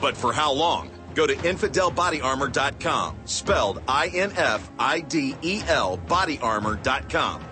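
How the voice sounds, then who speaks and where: A man's voice: mid-range voice, big pitch swings, normal speed, few pauses. A man reading a radio ad script in a punchy, urgent sales manner. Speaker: ad announcer. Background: music bed.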